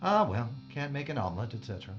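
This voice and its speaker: A fanatical male mad scientist, acted by a man, grandiose and menacing. His theatrical delivery leaps all over in pitch, with faint music behind a muffled sci-fi audio drama recording. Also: sing-song, fast talker, close to an everyday voice. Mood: grandiose, fervent. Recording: dull band-limited sound.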